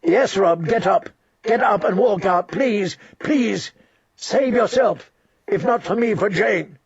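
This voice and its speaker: A man's voice: high-pitched, slow, husky, no room sound, voice pushed up, heavily acted. A man voicing a desperate pleading noble mother. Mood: urging. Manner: pleading, intense. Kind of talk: audiobook character voice. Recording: dull dry recording.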